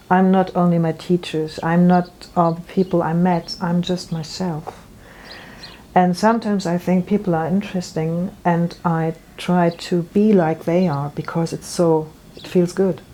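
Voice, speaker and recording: Slow, mellow-voiced woman guest. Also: lowish voice, a little hiss or hum. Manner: halting, repeats words, earnest. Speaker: natural medicine practitioner, guest. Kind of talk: interview answers.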